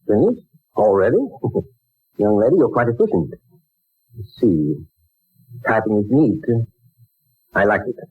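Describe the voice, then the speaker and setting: An actor playing a courteous, fussy elderly office boss with a husky voice and halting manner, in 1940s radio drama style. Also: male voice, lowish voice, very animated, heavily acted. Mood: kindly, fussy. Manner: halting, chuckling, fussily polite. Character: courteous, old-fashioned elderly male office boss, hard of hearing. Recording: tinny, band-limited 1940s radio recording.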